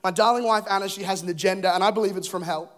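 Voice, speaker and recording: A young man with an Australian accent, originally from England, preaching a joking, self-deprecating sermon with a slightly rough voice. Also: sits high, fairly even intonation, very fast, a bit bright. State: voice a bit rough. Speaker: pastor. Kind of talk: humorous sermon. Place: church auditorium.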